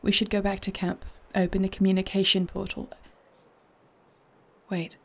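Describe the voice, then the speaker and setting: Woman playing a grave, creaky-voiced warrior princess. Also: mid-range voice, little pitch movement, close to an everyday voice. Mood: anguished, grave. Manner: solemn, deliberate, formal. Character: noble warrior princess, grave, torn, devoted wife. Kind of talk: fantasy audio roleplay acting.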